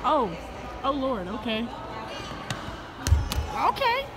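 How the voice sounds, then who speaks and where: A woman's voice: sits high, huge pitch swings, extremely slow. A teenage girl filming friends on her phone in a shopping mall, talking casually, teasing and laughing often. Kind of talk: casual chat behind the camera. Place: large indoor shopping mall. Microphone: phone held in hand. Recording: busy mall crowd, music behind.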